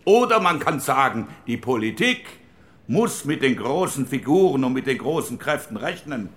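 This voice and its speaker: An actor playing a reflective, wry post-war parliamentarian, delivering a deliberate rhetorical speech in a radio documentary drama. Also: male voice, mid-range voice, sing-song, fairly bright tone.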